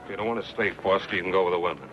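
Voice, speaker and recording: A man's voice: low-pitched, racing, background noise, natural voice. A man playing a stubborn, self-reliant frontiersman with a firm, plain-spoken manner in a western radio drama. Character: stubborn, self-reliant young frontiersman guarding his rifles. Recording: dull lo-fi radio recording, music.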